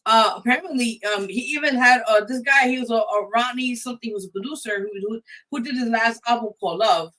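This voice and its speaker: Bright, forceful New woman hosting radio. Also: on the high side, fast, few pauses, rough recording. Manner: emphatic, blunt, swearing. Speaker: radio show host. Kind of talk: casual live talk show commentary.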